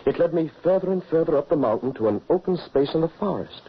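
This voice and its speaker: A man's voice: fairly high pitch, expressive, fast talker, breathy edge. An actor playing a hospitable, rugged huntsman father in an old-time radio horror drama, with an earnest, dramatic way of telling things. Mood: earnest recounting. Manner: earnest, dramatic storytelling delivery. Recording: muffled old radio recording.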